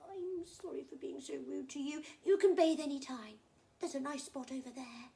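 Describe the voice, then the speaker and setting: A woman's voice: sits high, moderate pace, gravelly, dark tone, pitched above the performer's own voice. A woman voicing an emotional, repentant crocodile in a children's story, pleading and stammering as it exclaims. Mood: eager, apologetic.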